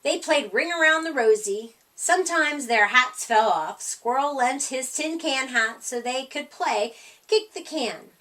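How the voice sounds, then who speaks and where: Woman storytime reader: highish, very expressive, unhurried delivery, a little off-mic. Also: distant mic. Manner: expressive, deliberate phrasing. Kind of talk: children's storytime narration.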